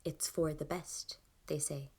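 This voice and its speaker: A woman's voice: deep voice, steady tone, unhurried, darkish tone, breathy edge. An actress playing a bitter, wounded young Irish woman, recounting her past in a stark, restrained monologue with an Irish accent. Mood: wounded, subdued. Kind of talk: audio drama monologue.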